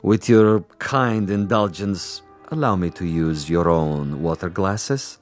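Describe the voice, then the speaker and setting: An actor playing a suave, boastful assassin commissar in a radio drama, with pompous, precise and silkily polite diction. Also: male voice, low-pitched, slow pace, faint music behind. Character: suave, boastful male assassin commissar, coolly menacing connoisseur.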